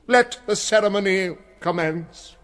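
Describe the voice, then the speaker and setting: An actor playing an imperious, hot-tempered prince in a theatrical gothic audio drama, declamatory, scornful and commanding. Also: male voice, high voice, measured pace, over music. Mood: scornful, exasperated.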